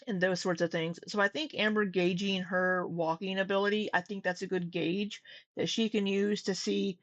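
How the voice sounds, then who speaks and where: A woman's voice: mid-range voice, no room sound. A woman hosting a reaction commentary, talking in a conversational way with frequent fillers and restarts. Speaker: commentary host.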